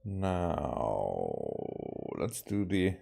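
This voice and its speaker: Low, mellow-voiced man from Hungary streaming. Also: very animated, vocal fry, breathy edge, soft background music. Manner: halting, re-reads stumbled words. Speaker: game streamer. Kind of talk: casual gameplay commentary, reading game text aloud.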